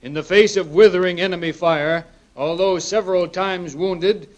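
A formal military officer played by a man reads out a citation. His voice is high for a man, with a declamatory, official delivery. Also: fairly even intonation, moderate pace, highs cut off, natural voice. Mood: formal, proud.

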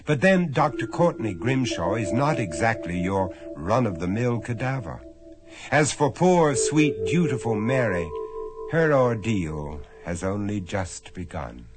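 Loud music plays under a sardonic storyteller, who narrates a macabre tale in a low voice. He takes his time, with sweeping, theatrical inflection. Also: big pitch swings, measured pace. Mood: droll, ominous. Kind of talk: scripted narration.

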